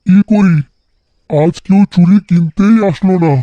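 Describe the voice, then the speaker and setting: A man's voice: sits high, fairly even intonation, unhurried, mellow, no room sound, muffled recording. A man playing a poor, weary, worried bangle seller who sighs plaintively in a cartoon folktale. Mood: resigned. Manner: plaintive, sighing. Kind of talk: animated folktale voice acting.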